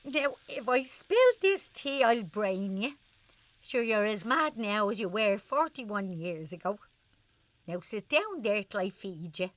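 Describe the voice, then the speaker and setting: A woman's voice: mid-range voice, big pitch swings, unhurried, fairly bright tone, no room sound. A woman playing an affectionate, teasing Irish wife in her sixties in a radio drama. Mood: playful scolding.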